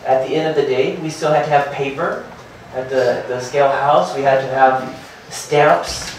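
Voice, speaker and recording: A man in his thirties giving a hurried startup presentation at a meetup, full of fillers and restarts. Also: mid-range voice, a little hiss or hum. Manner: hurried, filler-heavy, restarts and repeats words. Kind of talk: conference talk. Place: meetup room with projector and seated audience. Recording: very echoey room from far off.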